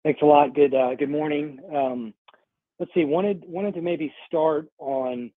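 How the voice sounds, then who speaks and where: A man speaking as an analyst caller, asking an unscripted question with hesitant, halting phrasing. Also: fairly high pitch, no room sound. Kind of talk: unscripted question on a conference call. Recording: dry, narrow phone line.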